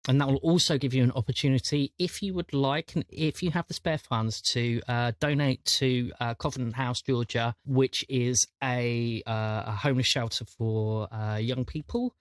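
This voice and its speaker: A man chatting casually as a podcast host and game master, often repeating words as he thinks out loud. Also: medium pitch, fairly even intonation, moderate pace, few pauses, no room sound.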